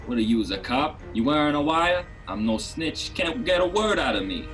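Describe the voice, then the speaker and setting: A pushy street vendor, played by a man with a fairly high voice and slangy wisecracks. He's a shifty radio-comedy hustler, music playing behind. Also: big pitch swings, normal speed, fairly bright tone. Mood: hawking, wary. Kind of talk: radio drama comedy acting.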